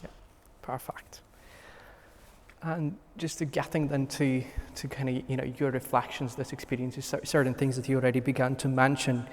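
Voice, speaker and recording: A man chairing a roundtable, asking questions quietly with loose phrasing and fillers. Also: medium pitch, little pitch movement, normal speed, long reverb tail. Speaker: panel chair. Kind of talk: moderating a roundtable.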